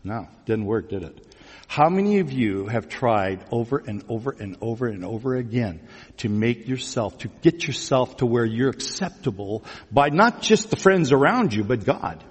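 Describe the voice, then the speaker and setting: A male pastor delivers a conversational sermon in a reverberant hall. His voice sits at a medium pitch as he teases listeners, asks them questions and repeats their answers back. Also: very animated, normal speed, husky. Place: large church room before a congregation.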